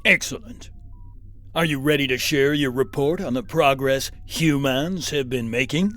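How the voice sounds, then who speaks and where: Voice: mid-range voice, measured pace, fairly bright tone, lightly acted. An actor playing a grand, commanding and breezily optimistic alien supervisor in a sci-fi satire audio play. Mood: pompous, hopeful. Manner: pompous, authoritative.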